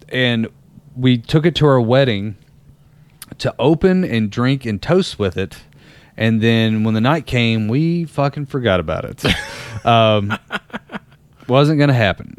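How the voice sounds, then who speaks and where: A man's voice: medium pitch, dry recording. Laughing, rambling young man on a podcast. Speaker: podcast co-host. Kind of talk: casual chat.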